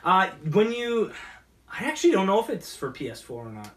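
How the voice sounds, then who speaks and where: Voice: mid-range voice, sing-song, a little fast, fairly bright tone. A talk show host chatting casually in a rambling, hesitant way. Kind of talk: casual chat. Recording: distant mic.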